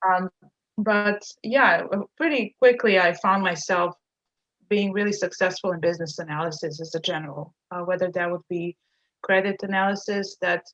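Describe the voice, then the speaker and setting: Casting note: Serbian-born woman, lowish voice, dull lo-fi call audio. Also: normal speed, fairly bright tone. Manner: hesitant, many fillers and restarts. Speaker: agency owner, guest. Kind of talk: casual interview talk.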